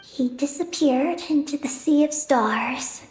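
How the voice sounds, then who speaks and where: A woman playing an earnest, determined young girl on a mission in a video game, speaking haltingly and solemnly. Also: sits high, darkish tone, reverberant, a little hiss or hum, poor audio.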